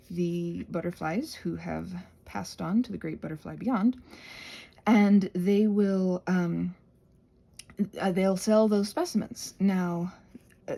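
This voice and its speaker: A woman's voice: fairly low pitch, dark tone. A woman chatting casually and quirkily as she hosts a rambling craft video, drifting playfully between asides. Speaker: host of a crafting channel. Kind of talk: casual rambling craft chat, ASMR channel.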